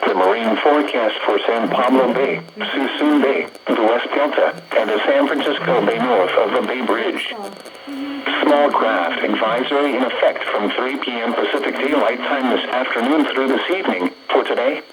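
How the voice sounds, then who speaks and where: A man's voice: medium pitch, expressive, moderate pace. A synthetic man's voice reading a scripted weather bulletin over the radio in formulaic, impersonal, clipped phrases. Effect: synthetic text-to-speech voice over radio. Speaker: automated weather radio announcer. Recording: noisy weather radio broadcast capture.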